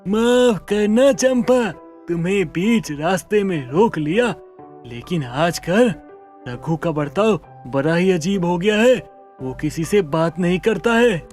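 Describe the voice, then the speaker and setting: A man plays a worried but resolute village headman in a cartoon moral tale. His voice sits a little high and he speaks earnestly, with emphasis. Music plays behind him. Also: expressive, slow pace, darkish tone. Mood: concerned. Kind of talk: animated Hindi story dialogue.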